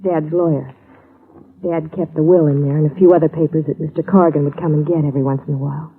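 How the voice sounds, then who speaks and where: A woman's voice: low voice, fairly even intonation, quick pace, slightly rough. An actress playing a grieving, polite young woman in a 1950s radio drama, earnest and subdued. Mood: somber recounting. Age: young adult. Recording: muffled, tinny old radio recording.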